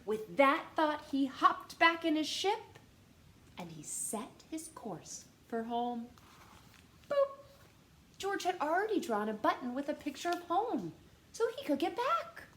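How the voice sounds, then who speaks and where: Animated high-voiced woman reading to children. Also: measured pace. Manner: animated, addressing young children with questions. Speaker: storytime reader and host. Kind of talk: expressive children's read-aloud. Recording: roomy echo with faint background noise.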